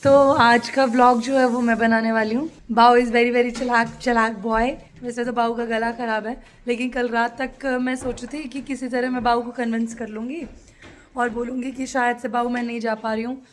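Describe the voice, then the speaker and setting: A woman's voice: on the high side, level tone, normal speed, slight background noise. A woman chatting to her phone camera at home for a daily family vlog, teasing her family as she goes. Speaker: vlogger. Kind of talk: casual vlog chat.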